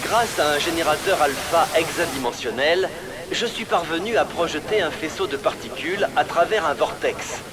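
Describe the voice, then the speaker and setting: A theatrical male scientist played by a man presents his invention in a game cutscene. His high voice lectures emphatically and grandly. A crowd is audible around him. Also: close to an everyday voice. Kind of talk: animated video game cutscene dubbing.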